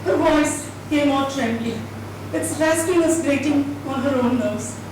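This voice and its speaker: High-voiced woman reading in an echoey hall. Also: unhurried. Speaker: reader at a dramatised book reading. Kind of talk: dramatised storybook narration. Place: indoor event hall at a live reading. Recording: distant mic, echoey hall, noisy.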